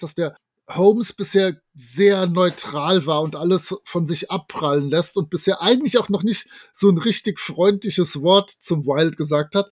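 A man's voice: sits high, a little mellow. A man, co-hosting a podcast and casually retelling a story with his own comments. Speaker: podcast co-host. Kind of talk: casual discussion of an audio drama, retelling and commenting.

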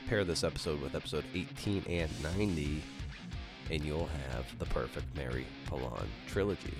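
A man with an extremely deep voice presents a podcast. His delivery is a casual scripted narration with playful, chatty asides. Plucked guitar music plays clearly behind him. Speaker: podcast host.

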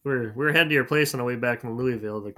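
An easygoing man from North Dakota co-hosts a casual chat and laughs easily. His voice is bright and forward, at a middle pitch. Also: rapid-fire. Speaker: podcast co-host.

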